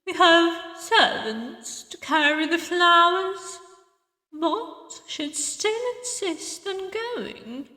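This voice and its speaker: Woman doing a sad old lady for a game, really high voice, slow n halting, big echoey hall. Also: animated, pushed and bright, heavily acted. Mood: fond, wistful. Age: older. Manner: slow, halting, sorrowful delivery. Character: grieving old woman, tender, wistful, doting on lost girl. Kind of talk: visual novel character voice acting. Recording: echoey hall reverb, distant sound.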